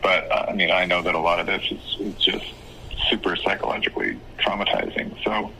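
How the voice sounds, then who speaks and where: A man speaking as an attorney, giving advice on a phone call in a measured, hesitant, explanatory way. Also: sits low, steady tone, vocal fry, bright, forceful tone. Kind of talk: phone consultation, casual advice. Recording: phone call with faint noise.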